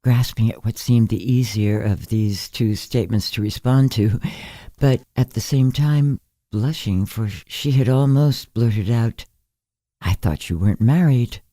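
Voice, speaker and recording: A man reading literary fiction aloud in a measured, reflective narrating style. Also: medium pitch, warm tone, no room sound, studio quality. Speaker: story narrator.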